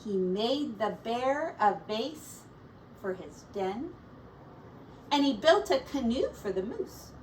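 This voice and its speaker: Lady teacher doing storytime for little kids, high voice, slow and gentle, mic kinda far away. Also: very animated, a little hiss or hum. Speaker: teacher reading aloud to young children. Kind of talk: storybook read-aloud with simple asides.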